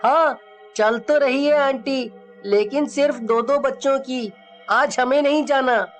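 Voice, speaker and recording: An earnest schoolboy, played by a woman, with a high voice and very slow, deliberate phrasing. He sounds concerned and sincere, in a horror storybook style over faint music. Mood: reassuring. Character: earnest schoolboy, worried but trusting, reassuring.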